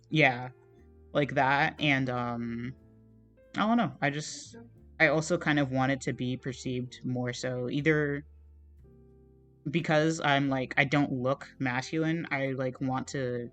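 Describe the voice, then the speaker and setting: A young man streaming as he draws, chatting casually in a meandering, soft, self-deprecating way, with plenty of fillers and trailing thoughts. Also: medium pitch. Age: young adult. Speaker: art streamer. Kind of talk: casual chat while drawing on a livestream.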